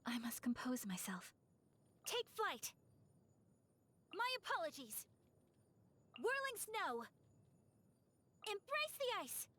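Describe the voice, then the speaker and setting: A woman voicing a gentle, reserved young noblewoman and swordswoman for an anime fantasy game, speaking with formal, poised politeness. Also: very high-pitched, wildly swooping pitch, takes it slowly, pauses often, heavily acted. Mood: melancholy, then battle cries.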